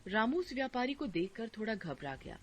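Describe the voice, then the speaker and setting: Woman, storybook narration, scripted, lively pitch, noisy background. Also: brisk.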